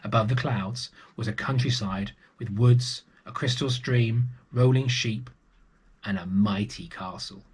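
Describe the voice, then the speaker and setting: A man's voice: fairly low pitch, unhurried, husky. A man reading a picture book to young children expressively, with chatty asides to the listeners. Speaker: storybook reader for early years children.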